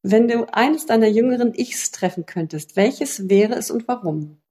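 A woman's voice: fairly high pitch, animated, moderate pace, warm tone. A woman interviewer introducing a guest and asking short personal questions.

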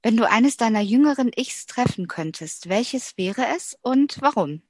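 A woman interviewer asking short personal questions in a plain, even way. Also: on the high side, measured pace, breathy edge.

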